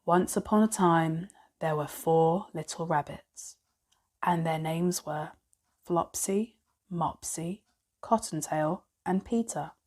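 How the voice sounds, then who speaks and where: A woman narrating children's bedtime stories in a measured, expressive, dramatic read-aloud style. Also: deep voice. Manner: slow, measured, dramatic storytelling for young children. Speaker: storybook narrator.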